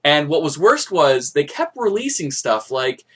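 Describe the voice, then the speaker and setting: A man's voice: fairly high pitch, big pitch swings, a little slow, breathy edge. A man co-hosting a gaming podcast in casual chat, explaining things in a loose, rambling way. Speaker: podcast co-host.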